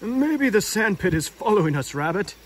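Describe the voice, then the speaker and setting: A man voicing a gentle, slow-witted, hungry bear from a storybook in a simple, musing way. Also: sits high, very animated, distant mic, voice pushed up.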